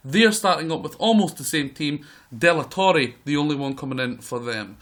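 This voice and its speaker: A man with a croaky voice giving excitable live play-by-play commentary over a football management game. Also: fairly high pitch, normal speed. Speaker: gaming video host.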